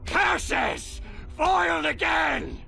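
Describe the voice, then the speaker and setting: Tiny indignant game villain, extremely high, gravelly, theatrical, exasperated. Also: male voice, very slow, sharp tone, shouting, faint music behind, full character voice. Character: small, indignant, bombastic cartoon villain. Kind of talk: cartoon game voice acting.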